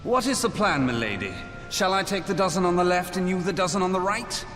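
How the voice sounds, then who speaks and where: A man's voice: high voice, expressive, brisk. A man playing a gallant, flirtatious warrior in a video game, wry and courtly with theatrical teasing. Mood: teasing bravado. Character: gallant, flirtatious swashbuckling male warrior, wry under fire. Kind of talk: dramatic video game voice acting. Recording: echoey hall, music behind.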